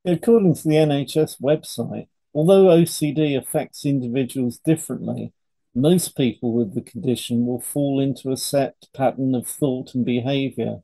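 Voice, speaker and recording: A man co-hosting an educational podcast, reading scripted material in a measured, instructive way. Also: medium pitch, creaky, mellow, low-quality recording. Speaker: podcast co-host, hypnotherapist. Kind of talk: scripted educational podcast read aloud in turns.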